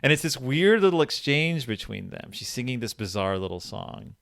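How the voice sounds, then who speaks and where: Animated man retelling a fairy tale. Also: medium pitch, normal speed, gravelly, dry recording, clean studio sound. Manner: conversational storyteller, quotes characters, asks leading questions. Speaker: podcast host.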